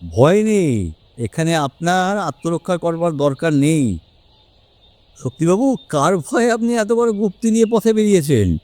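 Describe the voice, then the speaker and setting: A self-assured detective, played by a man, speaks in a fairly high, expressive voice. He is probing and deliberate, commanding the scene as in a radio mystery play. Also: dry recording. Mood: courteous, probing. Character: Hemanta, shrewd, self-assured male detective. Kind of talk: Bengali audio drama acting.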